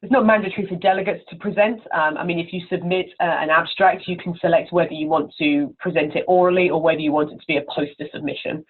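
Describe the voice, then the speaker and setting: A woman's voice: on the low side, fairly even intonation, quick pace, hardly any pauses, husky. A woman giving informal, encouraging interview answers as a conference chair over the telephone. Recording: narrow, tinny telephone line.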